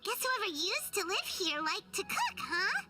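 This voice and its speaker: Squeaky, very high-pitched fairy companion. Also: female voice, sing-song, unhurried, full character voice. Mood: curious. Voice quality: squeaky, childlike. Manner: refers to self in third person, exclamatory. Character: small childlike female floating fairy companion, cheerful and devoted. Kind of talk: video game voice acting. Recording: game audio with loud music, slightly distant.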